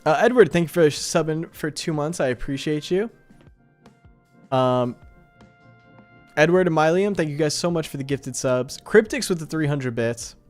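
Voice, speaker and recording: A man's voice: a little high, expressive, normal speed, long pauses, faint music behind. A man hosting a livestream, chatting casually and informally in slangy, appreciative asides to his viewers. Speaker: live streamer and host.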